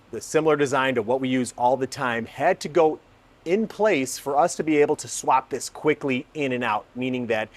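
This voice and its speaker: A man hosting a car testing video, explaining things in an informal, enthusiastic way with emphatic phrasing. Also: mid-range voice. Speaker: host of a car exhaust testing channel. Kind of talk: scripted narration and casual explainer to camera.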